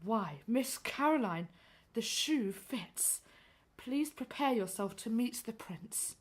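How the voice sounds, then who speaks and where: Woman acting an officious, high-voiced royal footman. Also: lively intonation, slow pace, husky, exaggerated voice.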